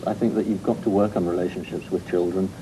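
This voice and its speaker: A man in the studio audience gives a measured, reflective opinion. His voice is low and very dark. A faint rumble runs beneath it. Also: level tone, rough recording. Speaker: audience guest. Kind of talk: reflective talk show comment.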